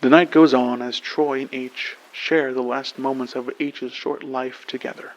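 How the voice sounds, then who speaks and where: A man's voice: mid-range voice, fairly even intonation, measured pace, dull audio. A man working as a podcast host and narrator, talking in a plain, informal storytelling way. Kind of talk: slow narration and casual sign-off. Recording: faint music behind the voice.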